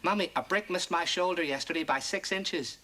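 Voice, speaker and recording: A comedian man doing a stand-up routine as a pretend phone call to his mother, firing off quick one-liners. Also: high voice, very noisy.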